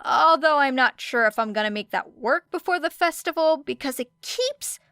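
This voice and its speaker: A woman's voice: sits high, expressive, normal speed, fairly bright tone, dry recording, clean studio sound, voice pushed up. Woman as a guarded, casual teenage girl. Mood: matter-of-fact. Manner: casual, evasive, understated. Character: guarded, apologetic teenage girl hero student.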